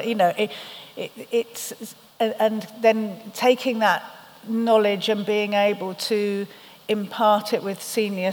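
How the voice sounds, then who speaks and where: A woman on a live conference panel, talking thoughtfully and often pausing to search for the right word. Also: mid-range voice. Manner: thoughtful, searching for words, many restarts. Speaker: podcast panel host. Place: conference hall, on stage. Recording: reverberant hall.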